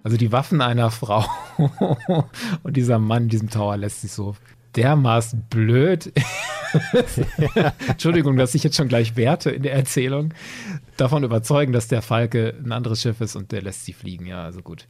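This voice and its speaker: A man's voice: mid-range voice, lively intonation, moderate pace, darkish tone, faint music behind. A man chatting informally on a podcast, retelling a story and checking in with his partner. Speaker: podcast co-presenter.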